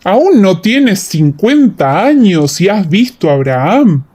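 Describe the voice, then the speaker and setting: A woman voicing a stern, accusing religious elder who challenges people deliberately in a dramatized audiobook. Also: mid-range voice, very animated, warm tone, husky, full character voice. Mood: incredulous. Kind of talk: audiobook radio-theatre dialogue.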